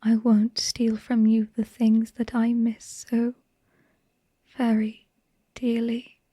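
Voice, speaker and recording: A woman playing a devoted, tender and haunted vampire wife in a hushed, breathy, intimate ASMR bedtime monologue. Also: a little high, completely flat delivery, no echo. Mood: tender, melancholy. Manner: slow, tender, halting delivery with lingering pauses.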